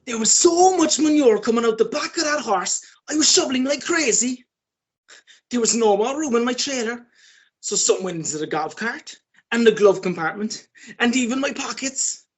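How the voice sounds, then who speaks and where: A man playing a scheming, excitable Irish countryman in a radio play, talking in a colloquial, cajoling way. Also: extremely high pitch, normal speed. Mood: excited, confiding. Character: scheming, excitable Irish countryman sneaking about a horse farm. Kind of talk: radio play acting and first-person storytelling.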